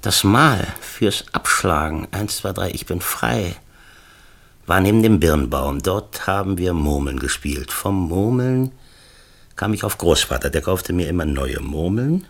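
A middle-aged man with a low voice, lost in anxious thought. He speaks haltingly and circles back over his own words, in naturalistic radio play style. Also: animated, creaky, full character voice. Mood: searching, rambling. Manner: musing, halting, repeating himself. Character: brooding, distracted middle-aged male screenwriter, anxious and fussy. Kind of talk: naturalistic radio drama acting.

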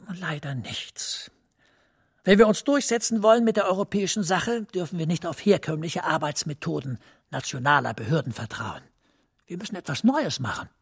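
A man's voice: on the high side, animated, normal speed, gravelly, husky, no echo, studio quality, full character voice. An actor in a radio play as an officious male administration superior, speaking formally and persuasively with emphasis.

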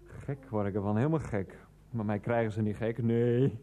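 A man's voice: on the low side, moderate pace, dark tone, muffled recording, natural voice. An actor playing a rambling, defiant, grumbling man who likes a drink, muttering to himself in a radio play. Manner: muttering to himself, talks of himself in third person. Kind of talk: Dutch radio play acting.

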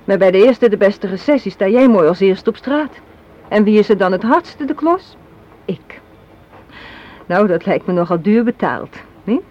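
A woman's voice: mid-range voice, lively intonation, normal speed, darkish tone, lightly acted. An actress in a radio play playing an assertive, cynical young woman, arguing in an articulate, sardonic way. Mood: bitter, lecturing. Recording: muffled, hissy old radio play recording.

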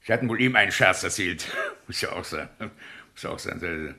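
A man's voice: low voice, lively intonation, moderate pace, bright, forceful tone, exaggerated voice. An actor playing a dithering, self-important older school inspector in a comic radio play, hesitant and repeating his own phrases. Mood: affable, pompous.

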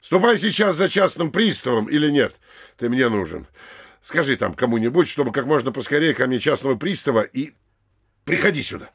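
A man's voice: mid-range voice, expressive, a little fast, slightly rough. A man playing an anxious, scheming provincial governor in a comic audio play, barking orders and muttering asides. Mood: urgent, bossy. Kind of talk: theatrical comedy audio drama acting.

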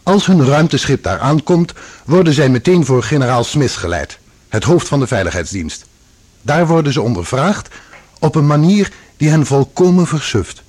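Husky, expressive male narrator. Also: fairly high pitch, normal speed. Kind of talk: scripted radio drama narration.

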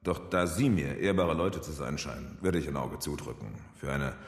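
A man's voice: a bass voice, normal speed, vocal fry. An actor playing a corrupt policeman in a radio drama, unctuous and mock-polite as he insinuates what he wants. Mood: insinuating. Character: oily, corrupt policeman, politely extorting bribes. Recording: echoey, reverberant room.

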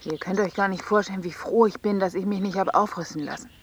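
A woman's voice: mid-range voice, fairly even intonation, fast, a little hiss or hum, lightly acted. A woman playing a practical, exasperated member of a household in a radio drama, nagging with pointed reproach. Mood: needling. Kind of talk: German radio drama dialogue.

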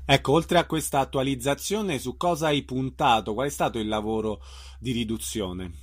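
A man's voice: medium pitch, moderate pace, husky. A man working as an interviewer, asking questions in a conversational way.

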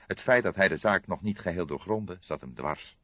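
A man's voice: sits low, normal speed, sharp tone. A man reading a crime novel as an audiobook narrator, in scripted storytelling style. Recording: dull, roomy audiobook recording.

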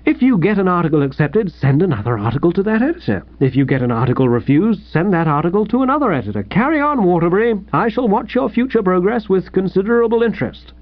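Man playing an exuberant high-pitched young English gentleman. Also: animated, moderate pace, no room sound, highs cut off, natural voice. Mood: cordial. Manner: gushing, breezy, chummy. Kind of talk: audiobook character voice.

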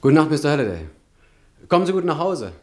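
An actor in a German radio play, playing an eager, deferential junior colleague. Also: male voice, fairly high pitch, big pitch swings, fast talker, darkish tone. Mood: eager, polite.

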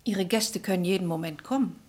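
A woman's voice: fairly low pitch, fairly quick, a little mellow, natural voice. An actress playing a wise, decisive society lady in a radio play, with a composed, formal and declarative delivery. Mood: resolute. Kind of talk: German radio play acting.

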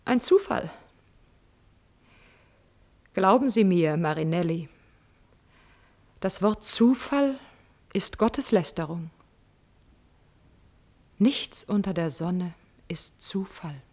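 Radio play: spurned noblewoman, husky, measured delivery, proud, wounded, insistent, theatrical swings into whispering. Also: on the low side, animated, a little mellow, full character voice. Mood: urgent insistence. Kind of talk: classical German stage radio drama.

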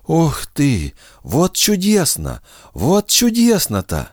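A man voicing a frail, tender old grandmother with a slow, quavering, cooing voice. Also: on the high side, very animated, no room sound, clean studio sound, pitched above the performer's own voice. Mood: tender, amazed.

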